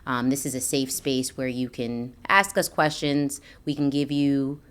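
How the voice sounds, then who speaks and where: Woman, very deep pitch, smooth and resonant, casual podcast co-host, earnest, encouraging. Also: fairly even intonation, measured pace. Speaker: podcast co-host, registered nurse. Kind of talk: casual conversational podcast talk.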